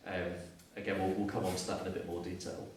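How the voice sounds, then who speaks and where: A man's voice: sits low, little pitch movement, fast talker, a little mellow, very echoey, recorded from a distance, a little hiss or hum. A man in his thirties from Surrey with a Southern English accent, giving an earnest, reflective personal testimony and often restarting his sentences. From Surrey, southeast England. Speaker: guest speaker.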